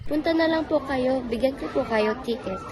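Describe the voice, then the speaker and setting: An insistent young Filipino vendor played by a woman in a radio drama. Her highish voice has an eager sales patter, on a clearly noisy recording. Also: moderate pace, darkish tone, natural voice. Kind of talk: amateur radio drama acting. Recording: noisy recording with ambience behind.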